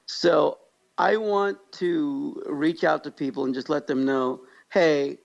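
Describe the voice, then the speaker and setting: Gravelly-edged American man, upbeat podcast host. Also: on the high side, steady tone, slow, muffled recording. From Pakistan-born, grew up in Kansas, United States. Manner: upbeat, jokey storytelling. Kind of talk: casual conversational podcast chat.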